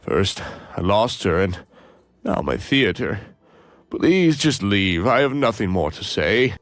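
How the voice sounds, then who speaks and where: An actor playing a defeated, bitter theater director in a game, sounding defensive and weary. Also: male voice, fairly low pitch, expressive, takes it slowly, no echo, soft background music. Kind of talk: game cutscene voice acting.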